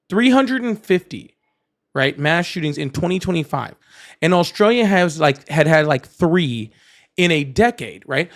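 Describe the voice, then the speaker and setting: A man with a slightly high voice runs a live political call-in, pushing his argument hard. He keeps checking in with "right?" and "okay?" as he talks. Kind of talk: casual live debate.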